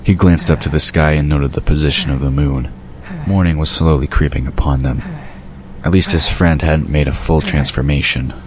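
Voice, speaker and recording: Male narrator, extremely low voice, scripted fantasy storytelling, faint night-insect noise behind. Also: steady tone, normal speed.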